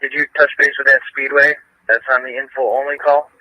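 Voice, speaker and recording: A man's voice: medium pitch, flat delivery, fairly quick, no room sound. A male police officer giving terse radio traffic over a two-way radio. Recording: muffled, distant police radio feed.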